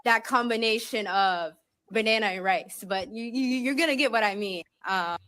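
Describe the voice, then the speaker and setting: A woman speaking in a casual clip, hesitating often and filling pauses with "um" and "uh". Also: mid-range voice, a bit bright. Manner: hesitant, many fillers.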